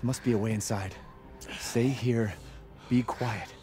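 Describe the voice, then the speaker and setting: Tense male survivor, medium pitch, acted. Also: fairly even intonation, takes it slowly, natural voice. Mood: tense, urgent. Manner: urgent, hushed, blunt. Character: tense, plain-spoken male survivor, outsider hero.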